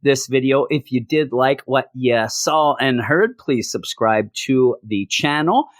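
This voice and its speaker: A hoarse man reviewing a comic book, ranting in exasperation and repeating his complaints for emphasis. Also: medium pitch, animated, takes it slowly, no room sound, clean studio sound.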